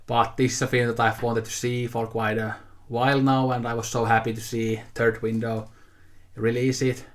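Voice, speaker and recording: A young man chats into the camera in a loose, unhurried ramble. His pitch sits in an ordinary middle register and barely moves, giving a level, monotone delivery. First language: Finnish. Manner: easygoing, rambling, with frequent fillers and restarts. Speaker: video host showing a haul. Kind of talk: casual unscripted talk to camera.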